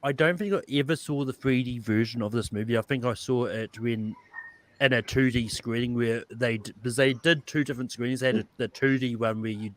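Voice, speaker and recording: A man's voice: medium pitch, brisk, rough recording. A man chatting casually as a panelist, in a hesitant, rambling way. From outside the United States. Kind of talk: casual chat.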